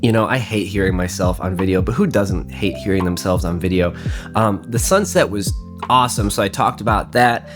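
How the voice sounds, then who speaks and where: A man's voice: lowish voice, a little fast. A man with a cold and a nasal voice, rambling through a casual, self-deprecating vlog voiceover. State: congested from a cold. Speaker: photography vlogger. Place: voiceover recorded indoors. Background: guitar music.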